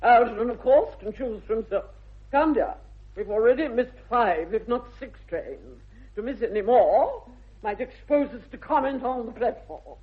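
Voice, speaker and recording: A woman's voice: on the high side, lively intonation, normal speed, breathy edge. An actress playing a snobbish, haughty older aristocratic lady with an upper-class English accent, pompous and precise, in a British radio comedy. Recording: dull vintage radio recording.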